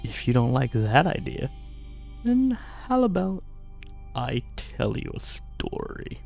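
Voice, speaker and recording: Man acting a slow, smug sorcerer villain. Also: medium pitch, very animated, gravelly, darkish tone, faint music behind, full character voice. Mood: threatening. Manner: theatrical, mock-polite, menacing, drawn-out phrasing. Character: smug sadistic male sorcerer, self-styled mage king. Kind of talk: ASMR fantasy villain roleplay.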